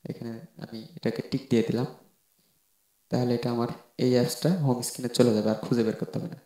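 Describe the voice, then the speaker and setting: A man's voice: medium pitch, flat delivery, fast, mellow. A man narrating an informal how-to tutorial, explaining step by step and often repeating himself. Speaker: tech tutorial host. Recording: roomy echoing voice-over.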